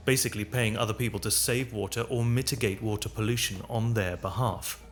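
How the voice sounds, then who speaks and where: Low-voiced man narrating over faint music. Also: fairly even intonation, fairly quick. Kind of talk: scripted informational narration.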